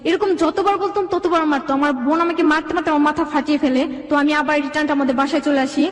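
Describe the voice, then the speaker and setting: A woman's voice: high voice, fairly even intonation, fast. A young Bangladeshi woman telling her own life story as an interview guest, in rambling run-on sentences. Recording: echoey room with long reverb.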